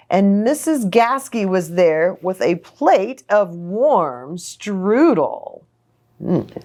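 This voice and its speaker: Slow, expressive woman reading to children. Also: mid-range voice. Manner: expressive, simple sympathetic comments to young listeners. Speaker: pastor reading a storybook to children. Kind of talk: children's storybook read-aloud with asides.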